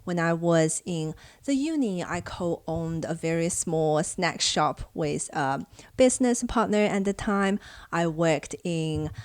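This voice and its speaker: A young woman from China, whose first language is Chinese, telling her own story in casual vlog fashion and turning animated when she acts out past conversations. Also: on the low side, takes it slowly, dry recording. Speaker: vlogger.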